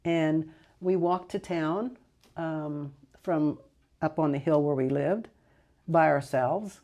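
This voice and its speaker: An older woman who grew up speaking Greek at home, fondly recalling her childhood in a rambling interview with soft laughs and self-corrections. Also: low voice, slow pace, muffled recording. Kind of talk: casual reminiscing interview.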